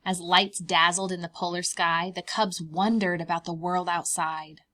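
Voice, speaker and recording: A woman preschool teacher reading a children's storybook aloud in a deliberate, expressive, child-directed way. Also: fairly low pitch.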